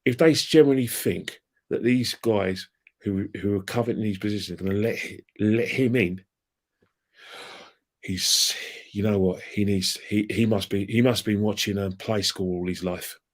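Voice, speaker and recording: A man's voice: on the low side, fairly even intonation, normal speed. A man, ranting in a heated casual chat about football fandom and trading colourful insults. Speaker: fan channel guest.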